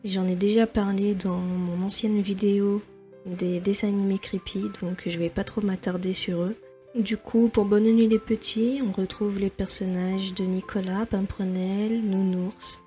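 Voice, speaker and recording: A woman's voice: mid-range voice, level tone, moderate pace, mellow, faint music behind. A young adult woman presenting a semi-scripted video commentary as its host.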